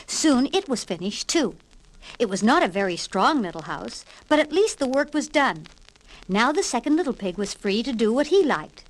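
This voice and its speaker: A woman reading a scripted children's read-along story with expressive, emphatic delivery, on an old vinyl record. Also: on the high side, moderate pace, poor audio. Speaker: storybook narrator. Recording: 1960s vinyl record with hiss and pops.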